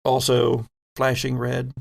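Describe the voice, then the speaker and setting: A man narrating a tabletop horror role-playing game as game master, setting scenes deliberately with pauses mid-phrase. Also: mid-range voice, monotone, creaky.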